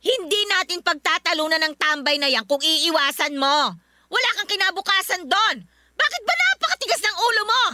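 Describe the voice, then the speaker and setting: Stern, scolding Filipino mother for radio drama: very high, sharp voice, disapproving. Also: lively intonation, a little fast, heavily acted. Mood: scornful. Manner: scolding, sharp rhetorical questions. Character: stern, nagging, disapproving mother of a grown daughter. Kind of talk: Tagalog radio drama acting.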